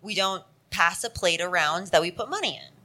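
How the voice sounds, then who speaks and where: A woman's voice: lowish voice, expressive, normal speed, sharp tone. A woman invited on stage for advice, telling a family story in a wry, matter-of-fact way. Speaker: audience guest seeking advice.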